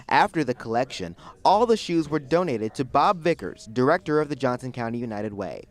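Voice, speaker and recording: A man's voice: medium pitch, very animated, brisk. A young man reading a scripted student TV news report.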